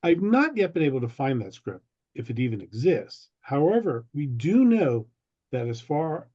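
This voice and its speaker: A man with a mellow, relaxed voice presents a prepared history lecture. He speaks in a deliberate, storytelling way at a middling pitch. The sound is a little dull, as on a video call. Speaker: presenter giving a history talk. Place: online video meeting.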